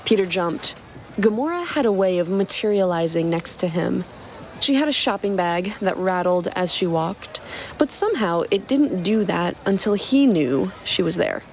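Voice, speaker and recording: A woman's voice: on the low side, lively intonation, normal speed, darkish tone, slight background noise. A woman reading scripted fiction as the narrator of a podcast.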